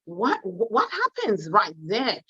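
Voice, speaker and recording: A woman's voice: medium pitch, wildly swooping pitch, takes it slowly, bright, forceful tone, slightly rough, dry recording, highs cut off. A woman from Zimbabwe with a Zimbabwean accent, giving an unscripted motivational talk, emphatic and exuberant, repeating words for emphasis. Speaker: guest motivational speaker on wealth.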